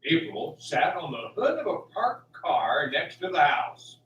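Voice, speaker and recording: Animated man narrating in a roomy space. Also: on the high side, a little slow, dull audio. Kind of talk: scripted radio drama narration. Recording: roomy, distant mic, faint music.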